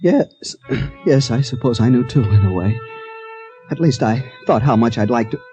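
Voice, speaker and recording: An actor playing a strong but unsure, polite man on the run in a radio drama, speaking haltingly. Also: mid-range voice, lively intonation, mellow, muffled recording, soft background music, natural voice. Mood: bashful, tender.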